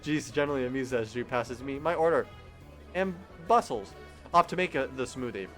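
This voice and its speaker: A young man reading a visual novel aloud on stream, animated and giggly, with joking asides. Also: medium pitch, a little fast, soft background music. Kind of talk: casual game narration.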